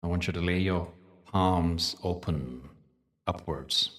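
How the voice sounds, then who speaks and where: A man leading a guided meditation in a soothing, measured way, leaving long pauses between short phrases. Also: deep voice, a bit bright, far from the mic. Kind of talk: guided meditation with spoken teaching.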